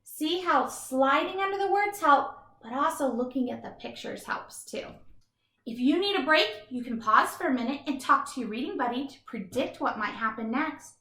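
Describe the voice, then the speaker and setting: A woman doing an expressive children's read-aloud as a teacher, with emphatic, instructive delivery. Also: sits high, moderate pace, reverberant. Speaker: teacher reading a picture book aloud.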